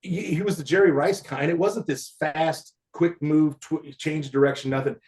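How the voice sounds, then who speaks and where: A man's voice: fairly high pitch, steady tone, normal speed, a little mellow. Man, fifties, casual chat, rambling, laughs a lot. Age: 50s. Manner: rambling, laughs often, trails off. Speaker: podcast host, former football player.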